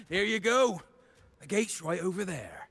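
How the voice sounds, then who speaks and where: An actor playing a boastful, panicky pirate villain in a video game, with theatrical, stammering bluster. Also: male voice, high-pitched, big pitch swings, slow, vocal fry, fairly bright tone, slightly rough, exaggerated voice. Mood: smug.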